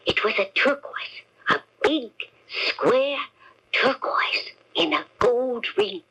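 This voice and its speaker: A woman's voice: fairly high pitch, lively intonation, slow, whispered, rough recording. An actress with an American accent playing an anxious, earnest female witness in a radio mystery drama.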